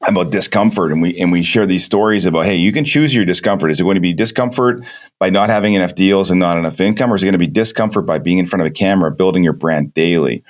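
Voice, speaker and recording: A man hosting a podcast interview, talking in a confident coaching manner. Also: low-pitched. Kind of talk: interview host commentary.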